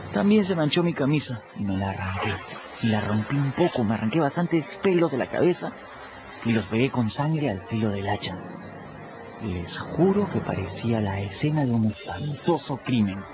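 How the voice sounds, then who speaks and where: An actor voicing a plucky, sly runaway boy in a radio drama, telling his story in the first person in a lively way. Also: lowish voice, normal speed, noisy background, lightly acted. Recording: dull lo-fi radio recording. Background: clear music bed.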